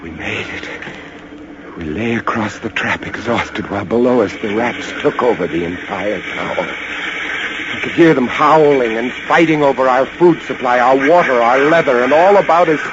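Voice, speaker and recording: Actor as intense lighthouse keeper, old radio horror. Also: male voice, medium pitch, expressive, moderate pace, noisy background, lightly acted. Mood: exhausted horror. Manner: intense, breathless, dramatic narration with hesitant stammers. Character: male lighthouse keeper recounting a terrifying rat siege. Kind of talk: old-time radio horror acting, first-person narration. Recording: dull old radio recording under loud music.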